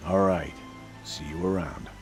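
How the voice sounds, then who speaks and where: A man's voice: low voice, steady tone, measured pace, darkish tone, faint music behind. A man playing a cranky, wry village trader in a video game, with a sardonic, grumbling, offhand manner. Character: cranky, wry male village trader dealing illicitly with outcasts. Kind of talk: video game cutscene acting.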